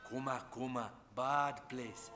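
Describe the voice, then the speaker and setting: A man's voice: mid-range voice, fairly even intonation, takes it slowly, lightly acted. A man doing a menacing cannibal chief in broken English. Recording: long reverb with game music under it.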